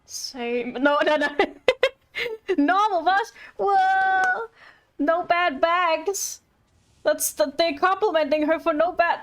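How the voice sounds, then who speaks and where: A woman's voice: extremely high pitch, slow, fairly bright tone. A woman giving chatty, reactive live commentary as she plays a game and reads dialogue aloud. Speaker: game streamer. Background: game music.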